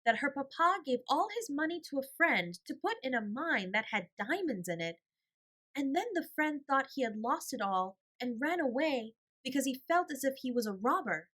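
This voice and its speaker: Storybook little girl, voiced by a woman: earnest, prim, soft-hearted and eager, light high pitch. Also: big pitch swings, moderate pace, full character voice. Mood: earnest, chatty. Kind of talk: storybook character voice.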